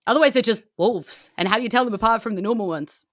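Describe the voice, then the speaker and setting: A woman's voice: a little high, fast, a bit bright, dry recording, close to an everyday voice. A small-town barkeep acted by a woman, in a tabletop role-playing game. The talk is informal and hesitant, full of local know-how, in a dry close recording. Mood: hedging, explaining. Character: chatty male small-town barkeep, protective of local reindeer.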